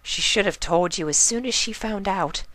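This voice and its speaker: A man's voice: sits high, normal speed, pushed and bright, dry recording, pitched above the performer's own voice. A man voicing a kind, protective, hyperactive young female cartoon pony, chatty and emphatic, in a fan story reading. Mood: tender, concerned.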